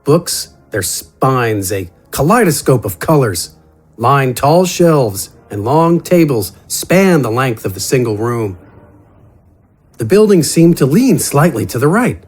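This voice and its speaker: A man's voice: medium pitch, husky. A man reading scripted fantasy adventure narration in a dramatic storytelling style. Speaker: narrator and voice actor. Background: music.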